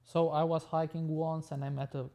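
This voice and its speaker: Male podcast guest, Albanian accent, relaxed mellow tone, creaky delivery, conversational and halting. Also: fairly high pitch. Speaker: podcast guest, tech business owner. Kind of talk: casual conversational interview.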